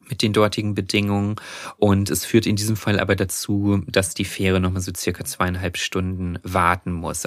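Deep-voiced man explaining on a podcast. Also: vocal fry, a bit bright, dry recording, studio quality. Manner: chatty, explains at length with frequent restarts. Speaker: podcast co-host, presenter of the story. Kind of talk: casual podcast conversation.

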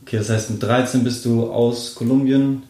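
A man's voice: medium pitch, fairly even intonation, moderate pace, dark tone. Friendly male podcast host in a roomy space. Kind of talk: scripted intro then casual interview. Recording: roomy echo.

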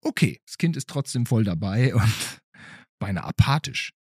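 Male podcast host, lively swooping pitch, husky edge, playful, conversational, questioning asides. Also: moderate pace, dry recording, clean studio sound. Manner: playful, hesitant phrasing with rhetorical tag questions. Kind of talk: casual conversational podcast interview.

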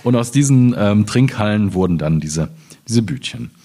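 A man from Germany's Ruhr region, a poet at a literary reading, speaking in a husky, deliberate, measured way. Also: lowish voice, expressive, dark tone. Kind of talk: poetry reading with informal commentary.

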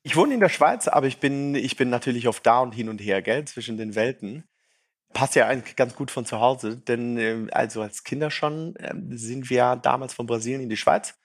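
A man in his thirties, born in Brazil and raised in Switzerland, speaking with a faint French-tinged accent. His voice is a little husky and sits at a middle pitch. He chats loosely, with plenty of fillers and light self-mockery. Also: normal speed. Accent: light French-tinged Swiss. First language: Portuguese and French. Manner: chatty, self-deprecating, many fillers. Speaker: startup founder, guest. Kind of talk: casual interview chat.

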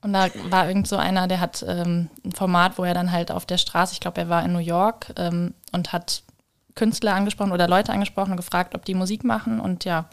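Female guest, noticeable vocal fry, slightly low register, halting informal chat that tapers off. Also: flat delivery. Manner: tentative, halting, trailing off. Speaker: podcast guest, communication designer. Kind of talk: casual chat.

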